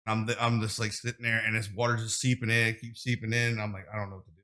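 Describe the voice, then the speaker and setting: A man's voice: on the low side, level tone, quick pace. A man in his thirties hosting a casual podcast chat, crude and joking. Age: 30s. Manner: crude, joking, laughs loudly at own jokes. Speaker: podcast host.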